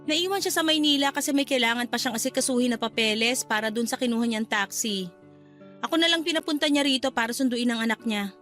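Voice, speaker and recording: A woman's voice: fairly high pitch, quick pace, fairly bright tone, faint music behind, lightly acted. A woman playing a brusque, impatient aunt in a radio drama, with a curt, bossy manner. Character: brusque, impatient aunt come to collect a child. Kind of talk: Tagalog radio drama acting.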